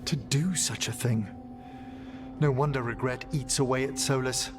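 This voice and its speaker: A man playing a courteous, thoughtful scholar in a video game cutscene, speaking in a measured, formal way. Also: medium pitch, steady tone, close to an everyday voice. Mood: pensive. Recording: music clearly behind the voice.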